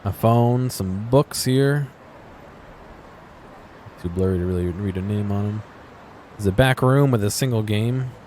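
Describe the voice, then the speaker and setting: Male gaming commentator, very low pitch, low-key and musing, unscripted chat over faint background noise. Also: a little slow, long pauses, mellow. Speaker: gaming video host. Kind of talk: casual off-the-cuff game exploration commentary.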